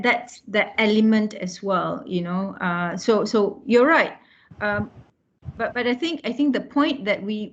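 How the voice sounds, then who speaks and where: A woman hosting a group discussion in an encouraging, affirming manner. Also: medium pitch. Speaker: book club host and moderator. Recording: dull lo-fi online call audio.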